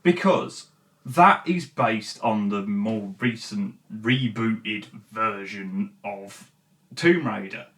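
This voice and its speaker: A male-sounding voice with a mid-range pitch, recorded at some distance from the microphone. The host talks informally and gives opinions in a halting, essay-like commentary, leaning hard on key words. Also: a bit bright. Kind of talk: video essay commentary, casual chat to viewers.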